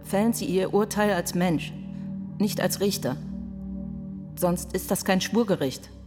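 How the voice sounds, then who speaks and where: A woman acting in a radio play as a sharp, indignant defence lawyer pleading in court, with a pointed, rhetorical manner. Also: mid-range voice, a little slow, close to an everyday voice. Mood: earnest appeal. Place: studio. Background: music.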